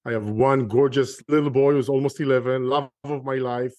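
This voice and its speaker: A man's voice: medium pitch, fairly even intonation, moderate pace, darkish tone, no room sound. A middle-aged man from Israel with an Israeli accent, talking earnestly as a guest on an interview podcast and often restarting his sentences. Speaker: guest, real estate investor.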